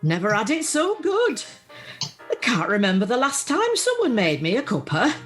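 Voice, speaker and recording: Woman doing plucky older northern english widow, earthy and chatty, highish lively voice, radio play, bit of music behind. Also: normal speed. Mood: jokey, earthy. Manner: earthy, self-deprecating, uses homely dialect. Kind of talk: amateur radio play acting. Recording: faint music, home video-call recording.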